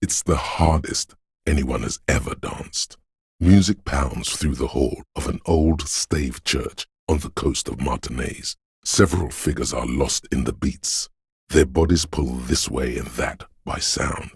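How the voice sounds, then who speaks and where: An extremely deep, raspy voice of an omniscient city spirit in a video game. It narrates with solemn, ominous poetry, measured and brooding. The recording is close and dry. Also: male voice, no room sound, exaggerated voice. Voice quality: very deep, gravelly, husky. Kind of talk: slow, poetic game narration in the second person.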